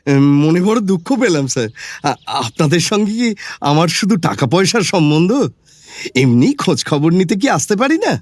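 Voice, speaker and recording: Animated, oily house broker. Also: male voice, high-pitched, moderate pace, no room sound, studio quality. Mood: fawning, wounded. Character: ingratiating male house broker, mock-hurt, oily politeness. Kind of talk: audio drama acting.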